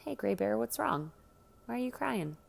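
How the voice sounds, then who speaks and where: A woman voicing a sharp-tongued but caring teenager in a read-aloud story. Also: mid-range voice, big pitch swings, moderate pace, vocal fry, pitched above the performer's own voice, exaggerated voice. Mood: gentle.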